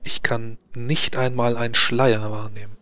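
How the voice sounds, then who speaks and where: A man playing a grave, worried court mage in a tabletop game, explaining things in a formal, halting, deliberate way. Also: medium pitch, level tone, sharp tone. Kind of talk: tabletop roleplay in character.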